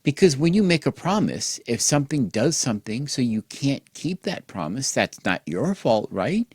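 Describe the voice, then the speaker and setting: A man hosting and telling a mock children's bedtime story in an exaggerated gentle, sing-song way, as if speaking to small children. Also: medium pitch, very animated, normal speed, breathy edge, dry recording, studio quality. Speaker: host and storyteller.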